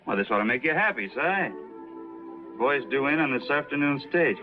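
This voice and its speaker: An actor playing a steady, reassuring Western deputy with an American accent and a plain-spoken manner. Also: male voice, medium pitch, normal speed, lo-fi sound, music in the background, natural voice.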